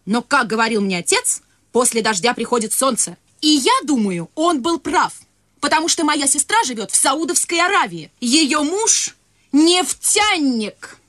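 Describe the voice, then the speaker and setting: A woman's voice: high voice, expressive, measured pace, a bit bright, dry recording, clean studio sound. A young woman actor playing a naive, nervously polite secretary in a radio comedy, rambling on in a prim, earnest way. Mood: earnest, chatty. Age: young adult. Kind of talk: radio play comedy acting.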